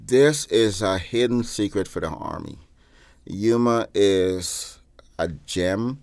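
A middle-aged man from Guyana answering interview questions, halting with many pauses and restarts. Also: fairly low pitch, gravelly, a little mellow, dry recording. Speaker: interviewee, former Army staff.

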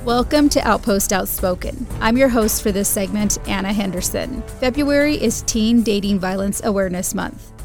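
A woman hosting an interview segment, delivering a scripted intro and clear prepared questions. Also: medium pitch, moderate pace, hardly any pauses, darkish tone, soft background music. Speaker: host.

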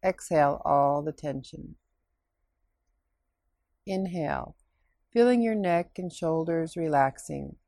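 Slow, creaky woman guiding meditation. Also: low voice, mellow, no echo, studio quality. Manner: soothing, unhurried, instructing with pauses. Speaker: meditation guide, therapist. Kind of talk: guided relaxation meditation, scripted.

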